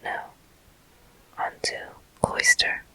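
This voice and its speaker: Slow, bright ASMR whisper, game commentary. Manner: soft, deliberate, frequent pauses. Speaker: host of gameplay walkthrough.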